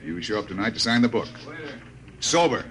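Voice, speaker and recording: A curt, stern spaceship captain. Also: male voice, fairly low pitch, lively intonation, brisk, fairly bright tone. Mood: gruff, reluctant. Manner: curt, commanding. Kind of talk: 1950s radio drama acting. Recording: noisy old radio broadcast with clicks.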